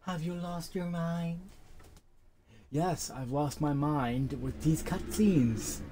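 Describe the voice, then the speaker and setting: A man's voice: on the high side, slow, noisy background. A young man giving casual let's-play commentary as a gaming host, informal, with muttered asides and exclamations. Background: game music.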